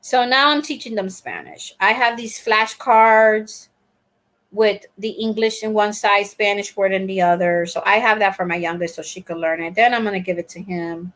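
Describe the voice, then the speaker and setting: A woman's voice: mid-range voice, fairly even intonation, moderate pace, fairly bright tone. An older woman from Puerto Rico, the host of a crochet channel, chatting casually on a live stream in a rambling way with many fillers and restarts.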